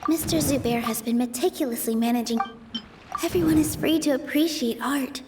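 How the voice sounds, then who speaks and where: High-pitched earnest young dancer played by a woman. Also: brisk, over music, close to an everyday voice. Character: earnest young female theater dancer, gentle yet spirited. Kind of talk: video game voice acting.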